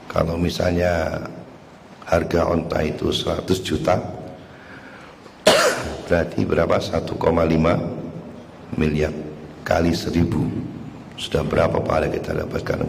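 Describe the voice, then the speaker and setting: A hoarse man giving a religious lecture in a mosque, speaking in a slow, measured way. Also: low-pitched, darkish tone, a little hiss or hum. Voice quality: slightly coughing. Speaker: preacher. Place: mosque hall. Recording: echoey hall with faint ambience.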